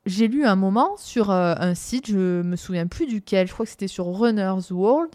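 A young woman talks through training ideas in a relaxed, unscripted way, pausing and restarting as she searches for her words. Her voice sits at an ordinary middle pitch for a woman. The recording is close and dry, with no room sound. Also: no room sound. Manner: thinks aloud, with frequent hesitations and restarts. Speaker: running podcast host. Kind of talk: casual explanatory talk on running physiology.

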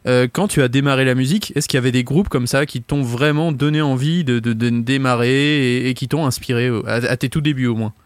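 A man's voice: medium pitch, no echo. A man co-hosting a radio show, asking questions with restarts. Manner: rapid, runs questions together with restarts. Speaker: radio co-host. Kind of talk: casual radio interview.

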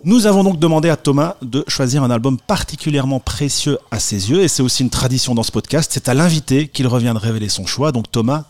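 A man at mid pitch hosts a music podcast from a radio studio, in smooth host patter with playful asides. Clear music plays under his voice throughout. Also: fast talker, hardly any pauses, darkish tone. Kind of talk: hosted music podcast talk.

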